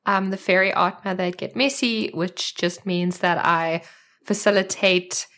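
A woman's voice: mid-range voice, steady tone, a little slow, fairly bright tone. A woman with a South African accent chatting as a podcast guest, thinking aloud as she talks. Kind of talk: casual interview chat.

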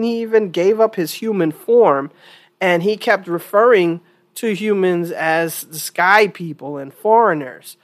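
A woman hosting her own opinion podcast in a casual, chatty monologue, sounding stuffy from a cold and repeating points for emphasis. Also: medium pitch. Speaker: podcast host.

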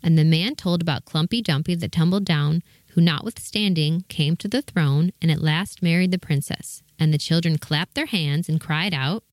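Low-voiced woman narrating a storybook. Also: normal speed, few pauses, dry recording, clean studio sound.

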